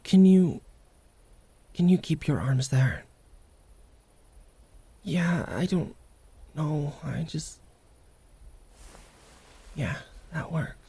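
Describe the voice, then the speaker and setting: A woman playing a prickly, vulnerable, secretly tender young wolfgirl in an intimate ASMR roleplay, speaking haltingly and often dropping into whispers. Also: low-pitched, animated, vocal fry, slightly rough, no echo, exaggerated voice. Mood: grudging, shy. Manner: halting, guarded, softly emotional.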